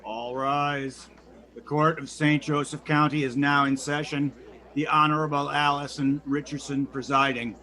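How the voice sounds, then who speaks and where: A man's voice: a little high, flat delivery, a little slow, bright, forceful tone, low-quality recording. A man playing a formal courtroom bailiff in an audio drama, proclaiming ceremonially. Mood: official, announcing. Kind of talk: scripted audio drama acting.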